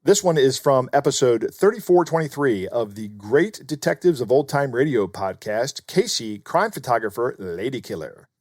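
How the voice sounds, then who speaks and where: A man's voice: medium pitch, big pitch swings, normal speed, hardly any pauses, a little mellow. A man co-hosting a podcast, explaining things in an informal, instructive way. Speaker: podcast co-host. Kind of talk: conversational explanation.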